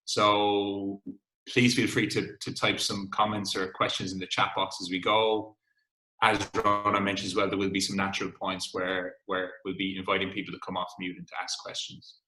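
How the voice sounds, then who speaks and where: A man with a Cork accent guides a webinar over a video call. His voice is fairly low, with a bright, forceful edge. He sounds calm and inviting, and the room around him rings with echo. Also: normal speed. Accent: Cork Irish. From Ireland. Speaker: workshop facilitator. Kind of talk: webinar presentation.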